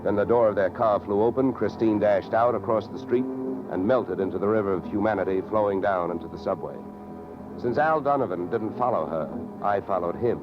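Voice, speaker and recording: An actor playing a wry, hard-boiled insurance investigator in old radio drama, dry and wisecracking. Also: male voice, fairly low pitch, a little fast, music in the background, lightly acted. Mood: wry narrating. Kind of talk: 1940s-50s radio detective narration. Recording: muffled vintage radio recording.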